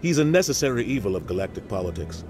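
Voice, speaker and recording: A man's voice: medium pitch, big pitch swings, fast talker, lightly acted. A man playing a middle-aged navy captain in a video game, grave, embittered and emphatic. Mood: matter-of-fact. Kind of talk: video game cutscene acting. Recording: music behind game dialogue.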